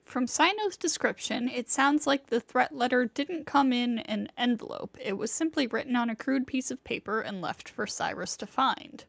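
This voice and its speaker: A woman streamer with a slightly high, very creaky voice. She chats casually and reads aloud while she plays a game. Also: normal speed, hardly any pauses, a bit bright, dry recording. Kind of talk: casual chat and reading aloud while playing.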